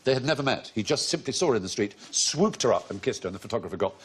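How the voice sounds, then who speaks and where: A man's voice: mid-range voice, animated, rapid-fire, breathy edge. A man hosting a panel quiz show, telling stories in an erudite, amused way in a television studio. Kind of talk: witty panel show chat. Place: TV studio with audience.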